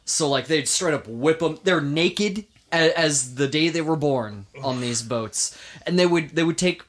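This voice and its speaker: A man's voice: a little high, measured pace, a bit bright. A man hosting a podcast, telling history in an informal, emphatic way with casual banter.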